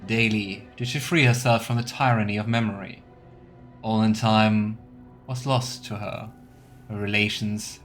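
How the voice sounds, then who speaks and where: A man hosting a video game let's play, commenting and reading game texts aloud over game music. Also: on the low side. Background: soft game music.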